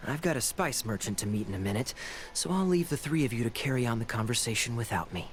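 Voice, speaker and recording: Man acting a suave, animated young knight. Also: mid-range voice, fast, noisy background, lightly acted. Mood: breezy, teasing. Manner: smooth, playful, theatrical. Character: suave, teasing young male knight, breezy and sly. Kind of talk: anime game voice acting.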